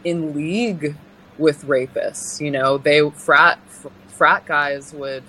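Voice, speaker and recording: A young woman from California with a deep voice, giving personal testimony as an interview guest in an earnest, halting way, full of pauses and restarts. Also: steady tone, sharp tone, slight background noise, poor audio.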